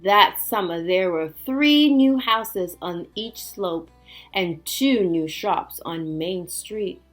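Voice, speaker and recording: A woman teacher reading a storybook aloud to children, expressive and emphatic, with little chatty asides. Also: on the low side, slow pace, soft background music.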